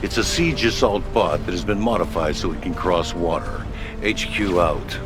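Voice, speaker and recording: An actor playing a gruff, brisk headquarters officer giving curt, commanding orders in a video game. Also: male voice, low voice, close to an everyday voice. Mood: curt, calm. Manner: curt, commanding, matter-of-fact. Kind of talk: video game mission control acting. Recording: loud music and engine noise behind.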